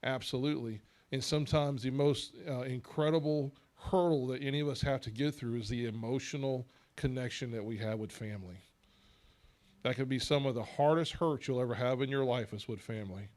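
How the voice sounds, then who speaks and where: A man leading a church Bible discussion in an earnest, measured way, asking rhetorical questions. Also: medium pitch, darkish tone.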